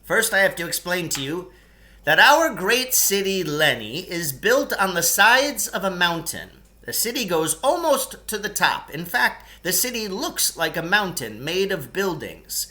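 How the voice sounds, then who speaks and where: A man's voice: sits high, big pitch swings, measured pace, bright, forceful tone, exaggerated voice. A man voicing a long-winded, nostalgic storyteller in a children's novel, ceremonious and pompous, drawing out key words. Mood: grandly explanatory. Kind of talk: children's novel read aloud in character.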